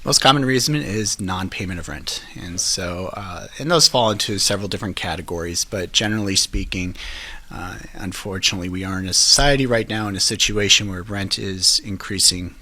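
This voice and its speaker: A man, an attorney, explaining legal process in a measured, explanatory way on a podcast. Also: low-pitched, sharp tone. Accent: New York. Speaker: eviction attorney guest. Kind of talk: podcast interview.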